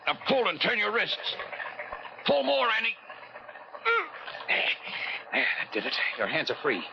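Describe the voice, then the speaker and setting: Through heavy noise and a muffled old radio recording comes a tough, cool-headed newspaperman. His voice sits a little high, and he barks short commands in 1940s crime-drama style. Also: huge pitch swings, a little slow, heavily acted. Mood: urgent, commanding. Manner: terse, commanding.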